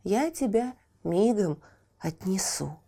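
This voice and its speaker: Storybook tigress, woman performer: calm, grateful big cat, lowered mellow tone, solemn and slow. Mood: solemn, kindly.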